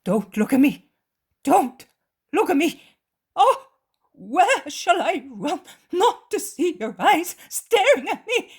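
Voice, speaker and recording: A woman's voice: extremely high pitch, big pitch swings, takes it slowly, pitched above the performer's own voice, exaggerated voice. A woman voicing a terrified, guilt-stricken grown man who shrieks, sobs and begs for mercy. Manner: shrieking, sobbing, frantic cries.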